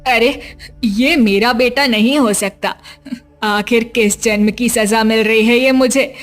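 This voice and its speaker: A woman playing a heartbroken old village mother who laments and scolds in an animated moral story. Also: fairly high pitch, slow, soft background music. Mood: anguished, scolding.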